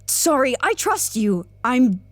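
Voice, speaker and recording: An anxious impatient woman staffer, high voice, bouncy pitch, blunt, audio drama, close dry sound. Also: sing-song, slow, no room sound, full character voice.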